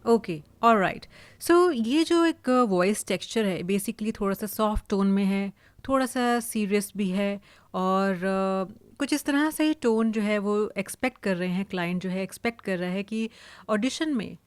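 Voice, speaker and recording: A woman with an Indian accent talks through a tutorial in a casual, explanatory way. Her pitch sits in the middle for a woman, and the sound is dry, with no room on it. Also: unhurried, smooth, no room sound. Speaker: voice-over artist giving a tutorial.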